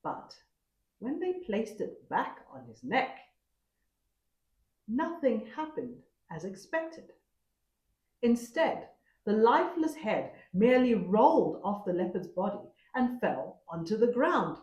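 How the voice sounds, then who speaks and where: A woman storyteller narrating a folktale expressively, with a dramatic, deliberate manner. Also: mid-range voice, sing-song, a little mellow, reverberant, muffled recording.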